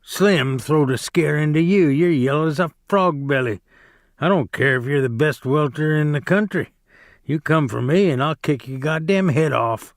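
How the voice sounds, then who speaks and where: A man voicing a rough ranch hand who taunts with contempt. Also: on the high side, moderate pace, no echo, studio quality, close to an everyday voice. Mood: taunting. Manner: sneering, contemptuous.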